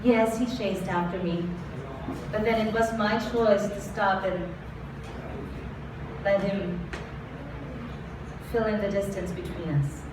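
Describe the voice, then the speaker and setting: A woman's voice: mid-range voice, steady tone, slow, pauses often. A woman performing spoken word poetry live, with an intimate, dramatic delivery built on repeated refrains. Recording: roomy echo, far from mic, ambient noise.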